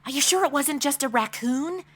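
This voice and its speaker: A woman's voice: sits high, lively intonation, normal speed. A woman playing a bubbly, tactless young amateur sleuth in a comedy audio drama, blurting things out in a gushing, flippant way.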